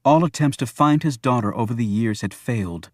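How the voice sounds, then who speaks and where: A man's voice: mid-range voice, fairly quick, no room sound, clean studio sound. A man narrating a thriller novel as a scripted audiobook.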